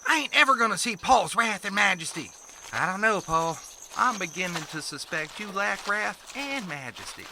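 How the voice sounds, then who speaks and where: A performer playing an excitable eleven-year-old hillbilly boy in a comedic western audio drama, dramatic, with a twangy Southern US accent. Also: mid-range voice, moderate pace, gravelly, noisy background. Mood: petulant.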